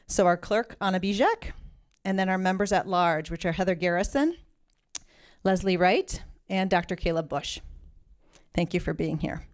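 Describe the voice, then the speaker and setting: A woman, chairing a school board meeting and reading the formal procedural opening in a measured way. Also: medium pitch.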